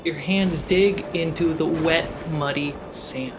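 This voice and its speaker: A man's voice: fairly high pitch, fairly even intonation, slow. A man hosting and narrating a tabletop game dramatically as dungeon master. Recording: loud cinematic music behind voice. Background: loud music score.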